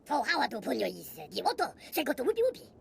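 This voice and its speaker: A woman voicing an excitable small alien who chatters in a made-up tongue with a raspy voice. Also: sits high, rapid-fire, a little hiss or hum, full character voice.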